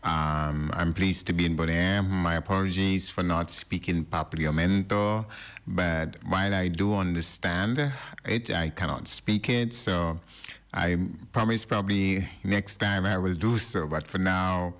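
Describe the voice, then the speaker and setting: A middle-aged man from Barbados, a radio studio guest, speaking in a measured, formal and polite way. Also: low voice, fairly even intonation, fairly bright tone. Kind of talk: radio interview.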